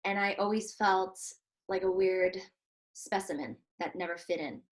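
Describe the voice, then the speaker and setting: A woman's voice: on the low side, measured pace. A woman from Switzerland, a heart coach hosting a casual interview, speaking earnestly and reflectively with many fillers. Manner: earnest, reflective, soft-spoken with frequent fillers.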